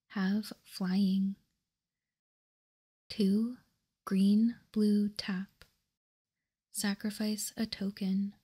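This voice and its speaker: A woman whispering softly and breathily in an ASMR style, calm and unhurried, as she hosts a card walkthrough. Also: mid-range voice, breathy edge. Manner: calm, unhurried, reading out and commenting. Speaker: host of a card game ASMR channel.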